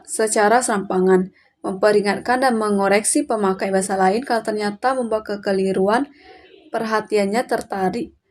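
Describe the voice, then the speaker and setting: A woman presents a student assignment in a mid-range voice. She reads from a prepared text, reciting point after point. Her delivery is that of someone reading aloud, with occasional stumbles over words. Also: mid-range voice. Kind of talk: scripted lesson narration, read aloud.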